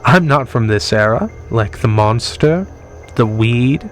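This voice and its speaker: A man's voice: medium pitch, animated, slow. A man acts out a time-travelling professor villain for an audio drama. He is genteel and smug, talking down to everyone with open amusement. He takes his time over the words, and faint music plays behind him. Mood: gleeful, condescending.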